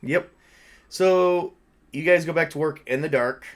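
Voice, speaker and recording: A man's voice: a little high, measured pace, crowd noise. A man running a tabletop roleplaying game, narrating and joking casually with the other player.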